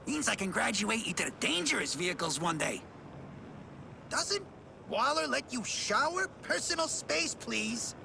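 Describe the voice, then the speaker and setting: Game role: brusque male vehicle boss, very high creaky voice, slangy and needling. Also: exaggerated voice. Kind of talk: video game character acting. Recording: game audio with vehicle noise.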